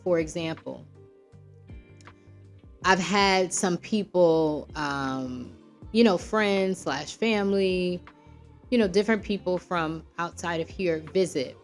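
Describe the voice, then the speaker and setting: A woman's voice: lowish voice, slow pace, soft background music. An American woman hosting a show, telling personal stories in a casual, conversational and reflective way, often checking in with her listeners. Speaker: podcast host.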